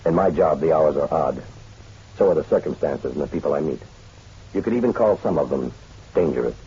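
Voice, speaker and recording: Deep-voiced noir private detective. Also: male voice, expressive, brisk, warm tone, breathy edge, exaggerated voice. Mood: wry, ominous. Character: suave hard-boiled private detective, adult bachelor. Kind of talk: noir radio drama acting and narration. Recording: dull radio-drama recording, music behind.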